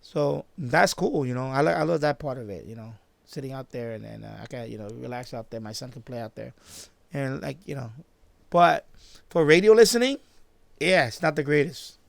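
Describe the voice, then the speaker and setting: A man chatting off the cuff on a live stream, halting and repeating himself as he circles back to his points. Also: medium pitch, vocal fry, no room sound. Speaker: live-stream host, radio hobbyist. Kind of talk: casual unscripted live-stream chat.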